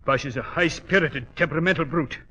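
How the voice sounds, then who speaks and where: An actor playing a desperate, bitter English lord in 1940s radio drama, with a British accent and agitated, melodramatic delivery. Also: male voice, on the high side, fairly quick, sharp tone, breathy edge, muffled recording.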